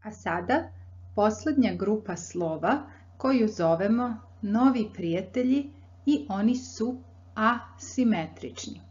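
A young woman with a Serbian accent teaching a language lesson in a deliberate, explanatory manner. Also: medium pitch, expressive, a little mellow, slightly rough, muffled recording. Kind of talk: instructional lesson narration.

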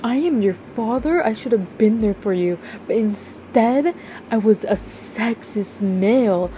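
A woman voicing a remorseful middle-aged father, halting and earnest, in an amateur roleplay drama. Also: fairly high pitch, expressive, dark tone, a little hiss or hum, poor audio. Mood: apologetic. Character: remorseful middle-aged father apologizing to his grown daughter.

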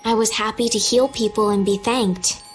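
High voice, woman acting young princess, gentle, earnest, polite, fantasy game dialogue, light background music. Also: fairly even intonation, normal speed, close to an everyday voice. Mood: reflective, earnest.

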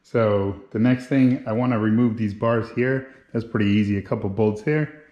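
A man's voice: on the low side, moderate pace, warm tone, highs cut off, a little hiss or hum. A man in his thirties giving a casual, informal step-by-step how-to commentary as he works on a build. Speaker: motorcycle builder and video host. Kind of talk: casual how-to vlog narration while working. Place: home garage with hard floor. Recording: roomy, echoing garage sound.

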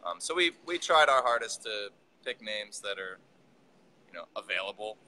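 Brewery owner in his thirties on a video call; bright, low voice, loose halting delivery. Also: a little hiss or hum, poor audio. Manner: relaxed, halting, with restarts. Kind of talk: casual interview on a video call. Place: brewery building, indoors. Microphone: video call, phone or laptop camera.

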